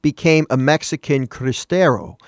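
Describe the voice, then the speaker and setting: A man's voice: fairly high pitch, moderate pace, no echo, clean studio sound. Earnest man talking on morning radio. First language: not Spanish. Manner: earnest, conversational, self-correcting, asks listeners questions.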